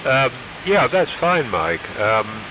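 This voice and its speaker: A man speaking as an amateur radio operator in a measured, polite, conversational way over a radio transmission. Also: medium pitch, very animated. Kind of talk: casual ham radio chat. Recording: hissy muffled AM shortwave radio. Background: radio hiss.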